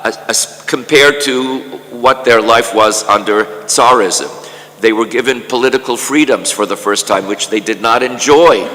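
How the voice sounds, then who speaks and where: Older man, academic lecture, bright forceful tone, slow deliberate delivery, restarts and repeats words. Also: mid-range voice, in a large hall, poor audio. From Canada. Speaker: conference speaker. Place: conference hall.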